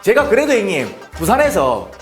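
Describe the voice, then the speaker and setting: A man's voice: high voice, wildly swooping pitch, normal speed, roomy sound, music in the background. A man in his thirties with a Busan accent, a guest rapper on a talk show, talking earnestly and deferentially, often laughing as he tells stories. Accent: Busan Korean dialect. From Busan, South Korea.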